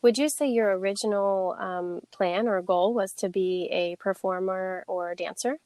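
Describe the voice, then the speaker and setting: A woman hosting a podcast, introducing her guest and reacting with quick interjections. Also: mid-range voice, steady tone, a little mellow, dry recording. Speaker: podcast host.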